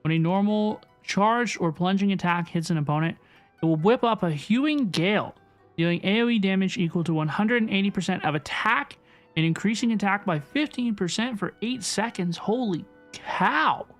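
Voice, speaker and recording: A woman's voice: mid-range voice, animated, moderate pace. A woman giving casual, chatty playthrough commentary as a livestreamer, often repeating phrases for emphasis. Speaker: video game livestreamer. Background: soft game music.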